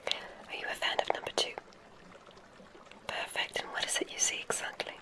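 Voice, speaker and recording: An ASMR performer playing a kindly witch examiner in a whispered roleplay, speaking in a soothing, gentle, deliberate way with soft approval.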